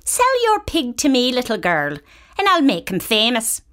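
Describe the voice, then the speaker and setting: Smooth, showy circus man , voiced by a woman, storybook. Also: a little high, wildly swooping pitch, normal speed, fairly bright tone, voice pushed up. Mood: persuasive. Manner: persuasive and showy.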